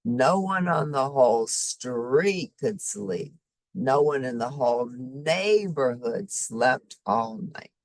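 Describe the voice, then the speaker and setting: Woman reading a kids story, deep for a lady, super expressive, dry close sound. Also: slow pace, no room sound. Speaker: storybook reader. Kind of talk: expressive children's story narration.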